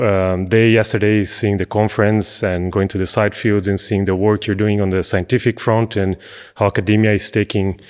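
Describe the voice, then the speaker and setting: A fairly low-voiced middle-aged man from Brazil, talking as an interview guest. He speaks in long, thoughtful stretches, pausing and restarting as he finds his words. The room carries a long reverb tail. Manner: thoughtful, searching for words with many fillers and restarts. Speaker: podcast guest, business analyst. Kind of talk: conversational interview.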